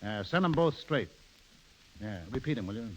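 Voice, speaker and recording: Blunt, animated middle-aged husband, vintage radio. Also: male voice, mid-range voice, moderate pace. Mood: brisk, businesslike. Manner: plain-spoken, terse. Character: blunt, wronged middle-aged American husband, determined to be reasonable. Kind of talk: 1930s radio acting. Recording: dull, lo-fi 1930s radio broadcast.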